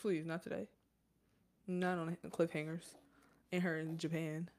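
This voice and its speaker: A woman co-hosting a podcast, chatting casually in an animated, jokey way and laughing often. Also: sits low, measured pace. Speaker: podcast co-host. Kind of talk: casual chat.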